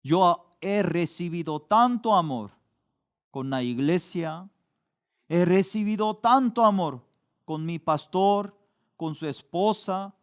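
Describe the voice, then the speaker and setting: A middle-aged man, preaching a sermon in a slow, deliberate way, with sudden loud rises for emphasis. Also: sits high, clear, resonant tone. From Korea. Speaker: pastor.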